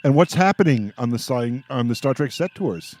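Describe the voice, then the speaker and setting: A man's voice: mid-range voice, lively intonation, normal speed, darkish tone, slight background noise. A man hosting a casual radio roundtable, talking in a jovial, joking way. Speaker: radio show host.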